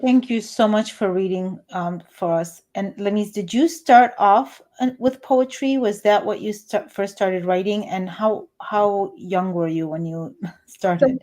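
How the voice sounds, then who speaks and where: A woman hosting an interview, asking questions conversationally and rephrasing them haltingly as she goes. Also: mid-range voice, a little mellow. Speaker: interviewer, host.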